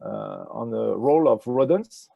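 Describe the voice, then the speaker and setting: An older man with a French accent, answering interview questions in a halting way with many fillers, speaking over a video call with a wired earphone mic. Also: mid-range voice, huge pitch swings, vocal fry, no echo, highs cut off. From France. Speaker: ecology professor, interview guest. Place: indoors with a virtual nature backdrop.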